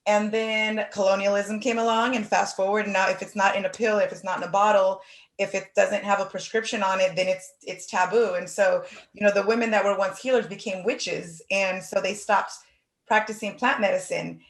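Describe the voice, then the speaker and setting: Animated woman host in a roomy room. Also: mid-range voice, fairly quick. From Oakland, California. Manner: animated, talks in long runs with fillers like "like". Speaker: podcast host. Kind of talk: casual conversation. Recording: roomy echo.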